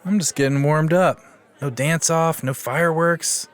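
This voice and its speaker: An actor playing a vain, swaggering beast slayer who talks in smug slang, in a fantasy comedy audio drama. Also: male voice, on the high side, a little slow, close to an everyday voice. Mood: pouty swagger. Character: cocky dudebro beast slayer, vain male ex-boyfriend.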